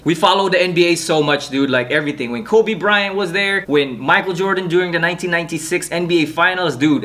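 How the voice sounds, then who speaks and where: A man's voice: fairly high pitch, sharp tone. A young man from the Philippines chatting casually to camera in an upbeat vlog, peppered with "like" and "dude". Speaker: YouTuber.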